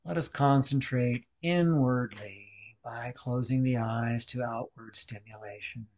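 A man leading a guided meditation, speaking deliberately in short instructional phrases with pauses. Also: mid-range voice, a little mellow.

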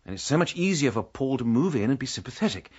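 An actor playing a fussy, sarcastic middle-aged English ex-military gentleman in a radio drama, with a dryly polite manner. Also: mid-range voice, very animated, fast, husky, lo-fi sound. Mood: prying, dry. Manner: dry, sarcastic, fussily polite.

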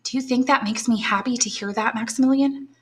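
A woman's voice: on the high side, steady tone, a little fast, a bit bright, pitched above the performer's own voice, natural voice. A woman reading aloud and voicing an anxious young woman in love, earnest and pleading. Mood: anxious, tender. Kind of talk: audiobook character voice.

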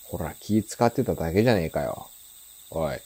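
An actor playing a scornful, aggressive teenage delinquent in a Japanese radio drama, needling in rough slang with a raspy voice. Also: male voice, low-pitched, lively intonation, moderate pace, warm tone.

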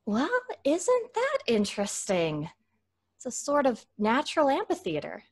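A woman's voice: on the high side, wildly swooping pitch, unhurried, exaggerated voice. A woman playing a sensible, schoolteacherly leader of younger friends, explaining things properly in old-time radio drama style. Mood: patient, instructive.

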